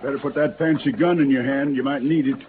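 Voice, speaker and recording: An actor playing a hard, vengeful sheriff in a 1950s Western radio drama, gruff and scornful. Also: male voice, medium pitch, steady tone, quick pace, natural voice. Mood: commanding, angry. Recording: scratchy, noisy, narrow old radio recording.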